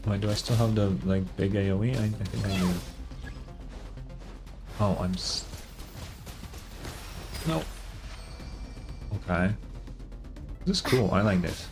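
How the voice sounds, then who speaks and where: A man's voice: on the low side, a little slow, long pauses. A man streaming a game, chatting informally and reacting with dramatic, joking commentary. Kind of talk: casual gameplay commentary, reading and reacting. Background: game music.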